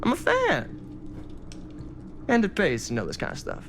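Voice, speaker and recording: Cocky, theatrical dealer over music. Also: male voice, high-pitched, wildly swooping pitch, moderate pace, gravelly, fairly bright tone, full character voice. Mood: gossipy, teasing. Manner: smug, wordy, showy. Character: cocky, theatrical, hard-drinking male dealer and negotiator. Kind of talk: cinematic video game acting. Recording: music under the voice.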